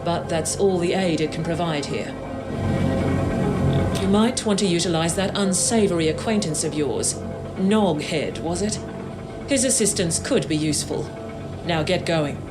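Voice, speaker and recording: An actress playing a cool, commanding corporate handler in a video game, with crisp, formal and dry delivery. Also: female voice, on the low side, a little slow, pauses often, reverberant, loud music behind the voice, natural voice. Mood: cool, brisk. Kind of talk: video game cutscene acting.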